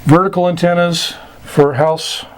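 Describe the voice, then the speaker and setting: A man giving an informal explanatory lesson as an instructor, deliberate and halting, with long pauses. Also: fairly high pitch, steady tone, a little hiss or hum.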